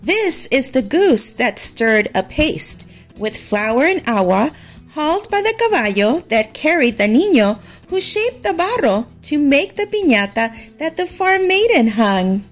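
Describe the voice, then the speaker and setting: A woman narrating a children's story in an expressive, measured way, as a read-aloud storyteller. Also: high-pitched, soft background music.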